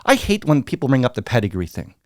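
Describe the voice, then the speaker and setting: A middle-aged American man telling candid business stories as an interview guest, blunt and animated, acting out what others said. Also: mid-range voice, fast, no room sound, studio quality. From United States. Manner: blunt, animated, acts out quoted dialogue.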